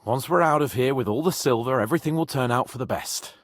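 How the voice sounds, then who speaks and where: A man's voice: mid-range voice, fast talker, dry recording, clean studio sound, lightly acted. A man playing a haughty, hot-tempered young medieval nobleman in a video game, with a pompous, emphatic delivery. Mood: smug, lordly. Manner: pompous, lordly, emphatic, swearing.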